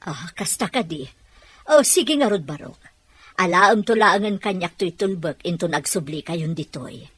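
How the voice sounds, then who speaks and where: A woman's voice: on the low side, animated, a little slow, a bit bright, husky, no room sound, heavily acted. A woman playing an outspoken older boarding house landlady in an audio drama. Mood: curious, agreeable.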